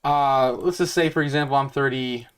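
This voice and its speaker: A man's voice: mid-range voice, normal speed, smooth. A man in his thirties hosting a crypto channel. He walks through a retirement calculator in a loose, rambling way, with many fillers and restarts, and he sounds sure of his views. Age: 30s. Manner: upbeat and certain in his views. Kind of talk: casual talk over a retirement calculator.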